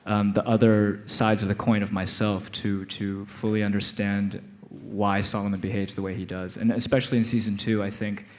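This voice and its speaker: American man, relaxed panel talk. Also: fairly low pitch, moderate pace, few pauses. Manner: animated, laughing, many restarts. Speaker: actor guest on panel. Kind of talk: live panel conversation. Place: stage before live audience.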